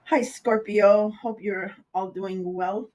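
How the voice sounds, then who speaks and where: Raspy, hoarse astrology reader with a cold. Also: medium pitch, fairly even intonation, takes it slowly, a little mellow. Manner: rambling, talks loosely with asides. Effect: audio sped up in editing. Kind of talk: casual horoscope reading.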